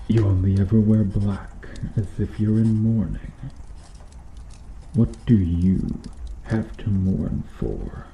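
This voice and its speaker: A very low, gravelly voice belongs to a bitter medieval knight acted out by a man, brooding and menacing as he interrogates. He takes his time over every phrase in an intimate ASMR roleplay style. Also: animated, reverberant, dull audio, a little hiss or hum, full character voice. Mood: bitter, frustrated. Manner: brooding, menacing, deliberate delivery with dropping hushed phrases. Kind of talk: slow ASMR roleplay acting.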